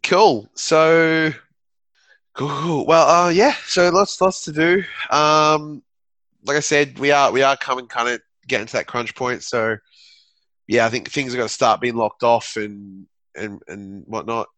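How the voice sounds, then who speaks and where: A man's voice: mid-range voice, unhurried, a bit bright, no room sound. A man co-hosting a podcast, chatting casually with a rambling, laid-back way of talking. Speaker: podcast co-host. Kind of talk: casual chat.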